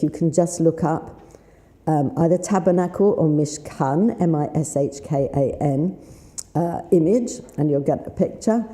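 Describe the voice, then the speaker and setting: A woman with a slightly husky voice, leading a synagogue service in a chatty, unhurried way with fillers. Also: deep voice, warm tone. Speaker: service leader. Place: synagogue sanctuary. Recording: roomy sanctuary sound with faint noise.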